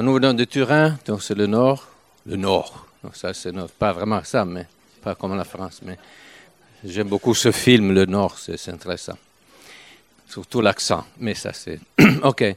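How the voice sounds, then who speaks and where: Older Italian-accented man, lowish voice, dry close sound, halting informal preacher. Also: no room sound. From Italy. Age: 70s. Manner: halting, with frequent restarts and pauses. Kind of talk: informal sermon.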